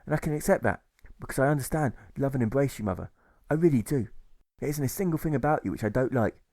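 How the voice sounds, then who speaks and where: A man reading a gentle, apologetic young pacifist with earnest, repetitive pleading in an audiobook. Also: mid-range voice, animated, quick pace, no echo, voice pushed up, close to an everyday voice. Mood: serene, earnest.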